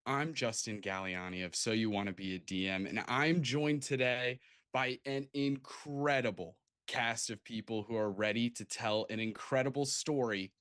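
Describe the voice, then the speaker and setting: A man's voice: medium pitch, fairly even intonation, moderate pace, a bit bright, smooth, dry recording. Enthusiastic male livestream host, dry close sound. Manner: enthusiastic, effusive. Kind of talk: casual livestream introductions. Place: remote video call livestream.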